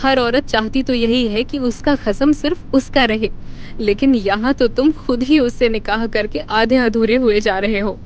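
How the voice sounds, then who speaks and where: A woman's voice: fairly high pitch, a little slow, muffled recording, slight background noise, close to an everyday voice. A woman acting a resolute, dutiful spy in love, in a romantic audio drama. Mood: firm, sad.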